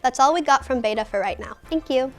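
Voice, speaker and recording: A woman's voice: high voice, quick pace, loud music behind the voice. A teenage girl, a student club president, reading a scripted announcement eagerly. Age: teens.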